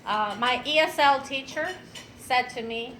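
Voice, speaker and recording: A woman, telling her personal story at an event in an unhurried way with fillers and light laughs. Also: high-pitched, a bit bright, a little hiss or hum. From Venezuela. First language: Spanish. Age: 50s. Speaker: speaker at an event. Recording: clattering dishes and cutlery behind.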